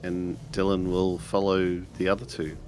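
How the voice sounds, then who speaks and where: A man's voice: sits low, flat delivery, normal speed, a little mellow, slight background noise. A man playing a tabletop roleplaying game, speaking in character and narrating his character's actions. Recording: music bed behind the voice.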